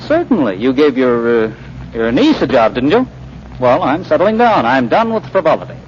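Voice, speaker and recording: An actor playing a cocky young playboy with a glib, flippant manner in 1940s radio style. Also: male voice, mid-range voice, big pitch swings, normal speed, natural voice. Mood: cocky, cheerful. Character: breezy, cocky young playboy turned eager businessman. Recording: dull, noisy 1940s radio broadcast.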